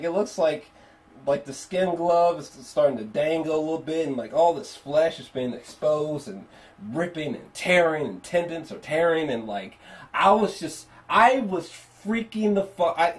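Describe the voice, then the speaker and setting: Animated, profane man reviewing a film. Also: a little high. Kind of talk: casual spoken movie review.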